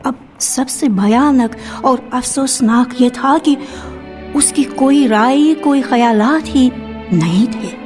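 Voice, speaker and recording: A woman narrating a literary story for radio in a measured storytelling manner. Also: high-pitched. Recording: reverberant archival radio recording with music.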